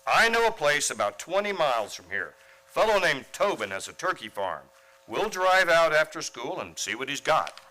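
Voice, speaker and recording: A man plays an earnest, oblivious teacher in a radio comedy. His voice is bright and forceful, swinging widely in pitch. He comes across as mild-mannered but eager, and thoroughly naive. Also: very animated, normal speed, low-quality recording. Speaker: actor in a radio play. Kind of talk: comedic radio play acting.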